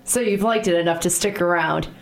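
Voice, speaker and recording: A woman's voice: on the low side, a little fast, creaky, rough recording. A woman hosting an interview program in a studio, upbeat and friendly as she introduces and questions her guest. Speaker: program host.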